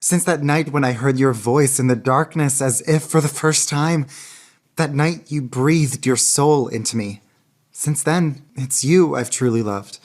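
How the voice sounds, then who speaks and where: An actress in a radio play as an ardent, earnest lover with a husky voice and a fervent, poetic delivery. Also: female voice, rumbling low, unhurried, darkish tone. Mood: fervent love. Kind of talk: radio theater acting. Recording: music under the dialogue.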